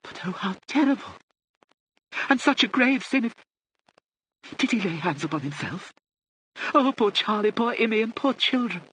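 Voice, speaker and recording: A man's voice: sits high, fairly quick, fairly bright tone, a little hiss or hum, pitched above the performer's own voice, rough recording. A man voicing a frail, anxious, hard-of-hearing elderly aristocratic lady in a genteel, hesitant, fluttery manner for an audiobook. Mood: shocked, distressed.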